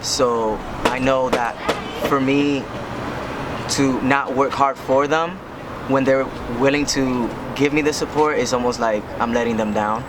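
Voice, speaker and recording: A man's voice: medium pitch, steady tone, unhurried. A man speaking earnestly in a street interview about his family. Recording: loud traffic noise behind.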